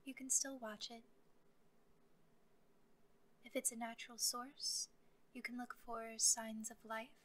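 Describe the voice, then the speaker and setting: A woman leading a guided meditation, speaking gently and calmly as she gives soft scripted instructions. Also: fairly high pitch, slow, pauses often.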